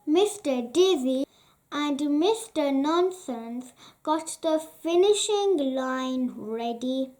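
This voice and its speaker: A woman reading a children's story aloud in a deliberate, carefully phrased way with frequent pauses. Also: high-pitched, animated, a little mellow. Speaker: children's storybook reader, channel host.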